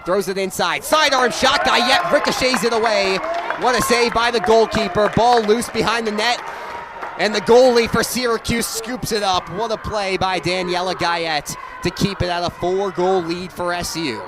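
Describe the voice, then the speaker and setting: Young man, high-pitched, live radio play-by-play, energetic, stadium crowd behind. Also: moderate pace, over music. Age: young adult. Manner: energetic, rises to excited calls on big plays. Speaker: play-by-play sports announcer. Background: crowd noise at an outdoor game.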